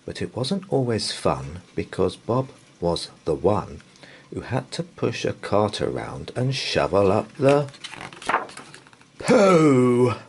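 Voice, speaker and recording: A man's voice: on the low side, big pitch swings, creaky, slight background noise. A man reading a children's bedtime story aloud in a playful, theatrical way. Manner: playful, theatrical, drawn-out delivery. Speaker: storybook reader.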